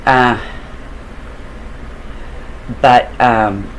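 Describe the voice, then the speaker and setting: A man with a deep voice telling a long story in a loose, casual, rambling way, with fillers and the odd curse. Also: steady tone, drawn-out words, slight background noise, poor audio. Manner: loose and digressive, with fillers and occasional swearing.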